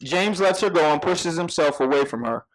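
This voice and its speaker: Higher-pitched man narrating screenplay directions. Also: animated, normal speed, rough recording. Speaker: narrator reading stage directions. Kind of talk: scripted narration at a live table read.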